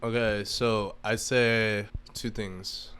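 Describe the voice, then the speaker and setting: Clear-voiced American man in his twenties. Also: lowish voice, level tone, slow, a bit bright. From Massachusetts, United States. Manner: informal, swearing, frequent rhetorical "right?". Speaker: podcast guest. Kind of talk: casual chat.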